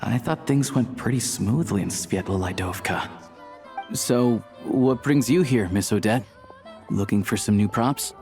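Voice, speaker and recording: An actor voicing an easygoing, practical young hunter in a game dub, casual, friendly and thoughtful. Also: male voice, fairly low pitch, measured pace, a little mellow, faint music behind. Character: easygoing, practical young male hunter doing odd jobs. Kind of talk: English game dub dialogue.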